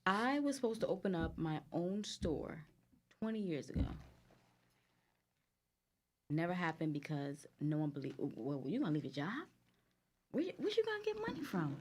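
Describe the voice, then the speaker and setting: A woman's voice: on the low side, big pitch swings, moderate pace, pauses often. A woman with a Guyanese accent, a guest entrepreneur in a chatty, candid conversation. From United States, Guyanese family. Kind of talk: casual chat over cocktails.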